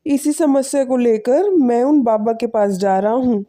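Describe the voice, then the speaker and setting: A woman performs a poor village man from an animated folk tale. The voice is fairly high for the performer's sex and soft-edged, weary but kind, speaking humbly and earnestly. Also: unhurried, close to an everyday voice. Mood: humble, earnest. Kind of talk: animated moral story dialogue.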